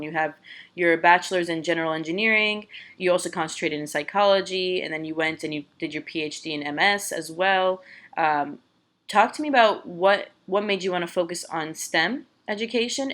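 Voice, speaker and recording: A woman's voice: on the low side, fairly bright tone. A woman hosting a casual interview, eager and encouraging, often restarting her words. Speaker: podcast host.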